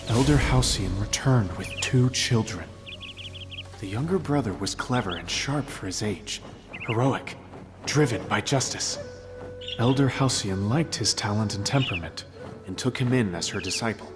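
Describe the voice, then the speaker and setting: A man playing an earnest, thoughtful sect disciple who recounts old tales in a measured, dramatic way for a video game. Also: on the low side, animated, over music. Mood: earnest narrating. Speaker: non-player character giving backstory. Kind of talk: expressive storytelling in video game dialogue.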